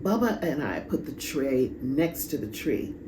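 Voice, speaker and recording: A woman reading a children's story aloud in a dramatic, deliberate way with pauses. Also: a bass voice, sing-song. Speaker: storybook reader. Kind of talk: expressive children's read-aloud. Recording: echoey room, clear background noise.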